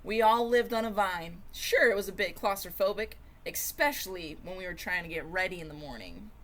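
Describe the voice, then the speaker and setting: A woman reading a children's storybook aloud in an expressive, dramatic way, with varied emphasis and pauses. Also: fairly low pitch. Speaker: storybook reader.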